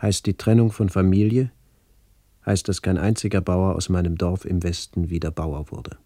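A man reading a scripted literary radio narration in a measured, solemn manner with incantatory phrasing. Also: low voice, level tone, dark tone, no echo, studio quality.

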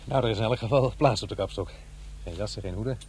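A man's voice: on the low side, quick pace, a little mellow, slight background noise, close to an everyday voice. An actor playing an awkward, defensive divorced father in middle age in a radio play, with a hesitant delivery. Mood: awkward, wistful. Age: middle-aged. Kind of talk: radio play acting, naturalistic dialogue and narration.

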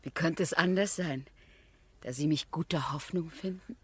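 A woman acting in a 1970s German radio drama as a composed, resolute aristocratic wife, with measured, formal diction. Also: low-pitched, darkish tone, husky. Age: middle-aged.

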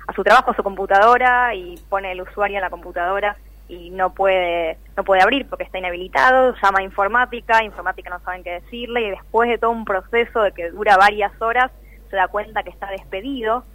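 A woman's voice: medium pitch, a little fast, a bit bright, no room sound, muffled recording. A woman with a Rioplatense Argentine accent giving earnest, detailed, conversational answers in an interview. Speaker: interviewee, layoff-tracking activist. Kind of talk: spontaneous explanatory radio interview.

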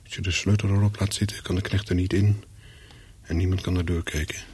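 Wary English hotel guest, very husky, low-pitched, measured, reminiscing, radio drama. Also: male voice, little pitch movement, darkish tone. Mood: brooding, reflective. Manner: measured, brooding, clipped short sentences. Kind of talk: radio play, first-person narration with dialogue.

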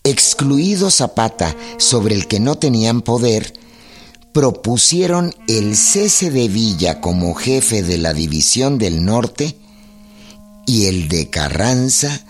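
A man giving measured, formal scripted narration for a historical radio drama. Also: on the low side, very animated, soft background music.

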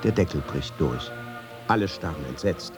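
Animated low male reader with music. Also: normal speed. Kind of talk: dramatic scripted reading in a radio feature.

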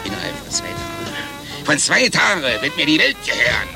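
A megalomaniac gangster boss in a satirical radio play. His fairly high voice swoops wildly and crackles with fry as he blusters and stammers over loud music. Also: wildly swooping pitch, moderate pace, full character voice. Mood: gloating. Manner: blustering, overexcited, stammering repetitions. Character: ranting megalomaniac male crime boss, grotesque and theatrical.

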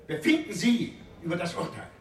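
An actor playing a theatrical defence lawyer in a radio play, pleading to a jury with solemn, declamatory pathos. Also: male voice, sits high, sing-song, brisk, recorded from a distance. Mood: grand pathos. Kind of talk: 1980s German radio play courtroom oratory. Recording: echoey courtroom sound from off mic.

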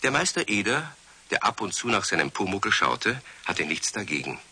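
A man's voice: on the low side, sing-song, moderate pace, sharp tone, breathy edge. A man narrating a scripted children's story in a wry storytelling manner.

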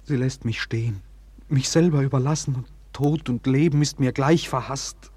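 An actor playing a heartbroken, despairing young lover in a 1940s German radio drama, with a husky voice and slow, pleading declamation. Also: male voice, mid-range voice, darkish tone, no room sound, close to an everyday voice. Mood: bitter despair.

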